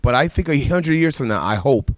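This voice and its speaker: A man's voice: medium pitch, lively intonation, brisk, dry recording. A man with a mid-range voice tells stories earnestly as a guest on a TV panel. He repeats phrases for emphasis. The recording is dry and close, with no room sound. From Bahamas. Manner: earnest storytelling with emphatic repetition. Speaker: pastor and guest speaker. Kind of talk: TV panel discussion.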